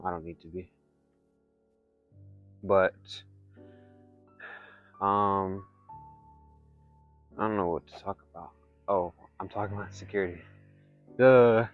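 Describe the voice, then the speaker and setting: A young man with a congested, nasal voice talking candidly in a vlog, halting and rambling with many fillers and restarts. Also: sits low, dull audio. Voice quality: congested, nasal from blocked nose; soft, not loud. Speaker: vlogger. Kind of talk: candid personal vlog monologue.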